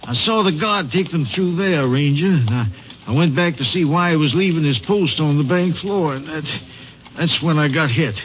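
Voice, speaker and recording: An actor playing a shaken, earnest bank vice president in a 1950s radio drama, with a hesitant, stammering American delivery. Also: male voice, a little high, moderate pace, lightly acted. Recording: muffled old radio recording, faint noise.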